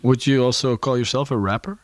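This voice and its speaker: A man's voice: medium pitch, steady tone, brisk, no echo. Hesitant male interviewer. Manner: hesitant, many ums and restarts. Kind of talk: interview questions.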